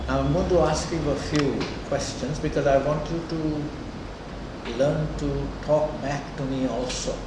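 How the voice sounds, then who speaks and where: A man's voice: on the high side, steady tone. Older guy teaching a class, sri w/ twang, super echoey room, mic far off. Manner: deliberate, conversational, checks in often with "okay" and "huh". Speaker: lecturer. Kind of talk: classroom lecture with questions to students. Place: indoor lecture room. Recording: echoey lecture room, distant mic, noisy.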